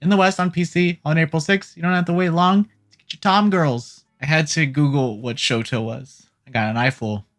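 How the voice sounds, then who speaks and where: A host delivering casual gaming news commentary in an irreverent, jokey way, swearing casually, with a medium-pitched voice. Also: steady tone, moderate pace. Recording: music playing behind the voice. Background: background music.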